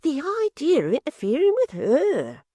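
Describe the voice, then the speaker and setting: A man reading an audiobook voices a spiteful gossiping young maidservant in a shrill falsetto with gushing, mock-breathless exclamations. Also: wildly swooping pitch, normal speed, warm tone, dry recording, full character voice. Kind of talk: audiobook character voice.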